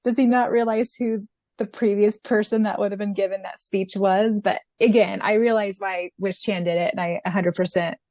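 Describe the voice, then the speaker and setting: A woman's voice: mid-range voice, fairly even intonation, normal speed, darkish tone, no echo. A woman co-hosting a podcast in a casual, chatty conversational style. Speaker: podcast co-host and audiobook narrator.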